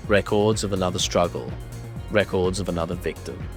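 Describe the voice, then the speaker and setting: A man narrating a horror audio drama in a grave, deliberate, dramatic way, reading ornate scripted prose. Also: deep voice, fairly even intonation, music in the background.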